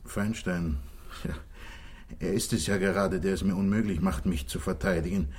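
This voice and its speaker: Contrite man on the run: deep, mellow-dark register, earnest and pleading, 1960s radio drama. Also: steady tone, moderate pace, slightly rough. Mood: anguished, confessing. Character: contrite, desperate wealthy fugitive confessing, husband and father. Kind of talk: 1960s German radio play acting.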